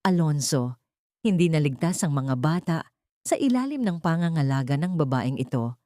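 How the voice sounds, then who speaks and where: A woman's voice: sits low, lively intonation, normal speed, warm tone, no room sound, studio quality. A woman voicing a stern wealthy grandmother in an audio drama, cold, indignant and imperious. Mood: cold indignation. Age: older.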